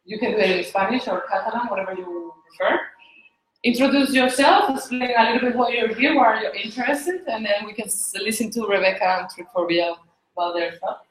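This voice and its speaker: A woman's voice: medium pitch, brisk. A woman hosting a small meetup, inviting people in a casual, friendly way. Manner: switches between languages casually. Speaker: event organizer. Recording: echoey room with faint noise.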